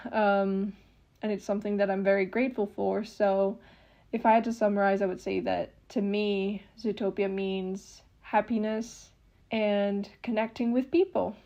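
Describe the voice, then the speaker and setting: A woman's voice: medium pitch, muffled recording. A woman in her twenties from France with a French accent, earnestly reading out a prepared personal statement in a recording she sent in. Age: 20s. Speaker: listener submitting a recording.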